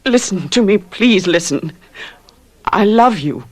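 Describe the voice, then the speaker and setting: An actress playing a devoted, desperate wife in a radio drama, in an earnest, theatrical manner. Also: female voice, medium pitch, lively intonation, slow pace, a little mellow. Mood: pleading. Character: devoted, desperate wife, composed yet pleading.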